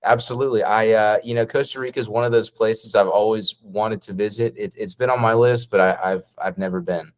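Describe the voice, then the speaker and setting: A man's voice: on the low side, fairly even intonation, a little fast, few pauses. A man hosting a casual interview, friendly, with frequent restarts and fillers. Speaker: host.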